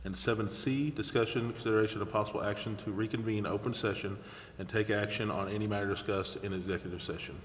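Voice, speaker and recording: A man's voice: lowish voice, steady tone, fast. A man chairing a council meeting, formally reading out agenda items in a procedural, official way. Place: indoor council chamber. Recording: echoey council chamber, faint noise.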